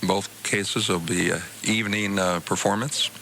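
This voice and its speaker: Deep-voiced, halting older American man. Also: steady tone, vocal fry, sharp tone, a little hiss or hum, low-quality recording. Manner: halting, measured, pauses between short phrases. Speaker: interview guest, college department chair and theater director. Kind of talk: radio interview, explaining.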